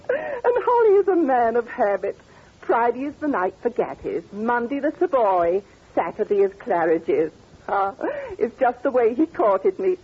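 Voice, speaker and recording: An actress playing a haughty, amused older British duchess with a grand, theatrical manner, in 1940s radio style. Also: female voice, on the high side, sing-song, a little slow, husky, exaggerated voice. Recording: tinny, narrow old radio recording.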